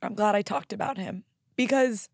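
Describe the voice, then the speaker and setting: A young woman with a raspy, hoarse voice talks candidly and with some profanity as the host of a solo podcast. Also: medium pitch, moderate pace, no echo, studio quality. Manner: candid, profane, animated with laughs. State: hoarse from karaoke singing.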